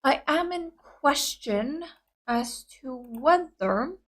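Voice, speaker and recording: A young woman whose first language is Greek chats casually and excitedly, her voice sitting a bit high. She fills her talk with casual fillers and restarts as she reacts. She talks like a video host addressing viewers. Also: extremely slow. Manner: chatty, excitable, many fillers.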